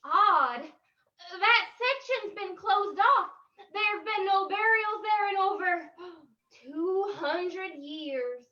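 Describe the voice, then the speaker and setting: Woman acting a very high-pitched, anxious townswoman. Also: expressive, takes it slowly, pushed and bright, lo-fi sound, heavily acted. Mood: alarmed, wary. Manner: dramatic, earnest. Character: alarmed small-town colonial New England woman. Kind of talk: old-time radio drama reading.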